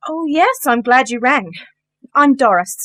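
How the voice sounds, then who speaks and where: A woman's voice: high voice, expressive, measured pace, fairly bright tone, no echo. A woman playing a polite, earnest and assured client in a radio play.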